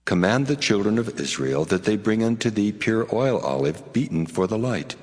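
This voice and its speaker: Commanding divine being, very low voice, solemn, long hall reverb, dramatized scripture. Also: male voice, very animated, normal speed, a little mellow. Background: music.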